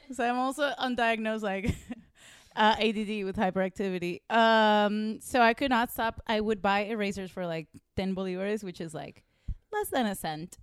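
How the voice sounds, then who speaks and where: A woman from Venezuela who grew up speaking Spanish chats casually in a smooth, clear voice, recorded close and dry. She tells stories loosely, giggly and self-mocking, and swears freely. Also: mid-range voice, no echo. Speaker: podcast guest, comedian. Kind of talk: casual conversational storytelling.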